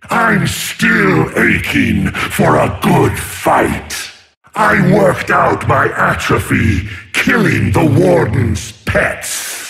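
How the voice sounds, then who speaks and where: A gravelly sea monster tyrant from a video game, imperious and gloating. He draws his threats out very slowly and theatrically, with huge swings in pitch. There is some noise in the recording. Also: heavily acted. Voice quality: gravelly, growling. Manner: slow, theatrical, menacing, gloating. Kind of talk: video game character voice lines.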